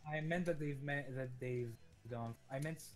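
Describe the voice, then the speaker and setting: A young man in a casual gaming chat, excitable, stammering and restarting when heated. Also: on the high side, normal speed, music in the background.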